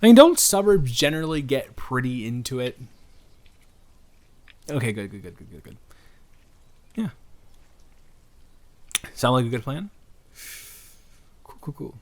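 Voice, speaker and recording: A man's voice: medium pitch, sing-song, a little slow, long pauses, a little mellow. A man improvising an audio roleplay as a friendly, slightly awkward young stranger, talking casually and earnestly to the listener with stammered restarts. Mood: eager, rambling.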